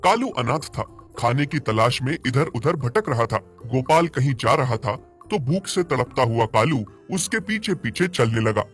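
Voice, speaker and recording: A man's voice: medium pitch, big pitch swings, moderate pace, few pauses, fairly bright tone, faint music behind. A man narrating a scripted moral story as a storyteller.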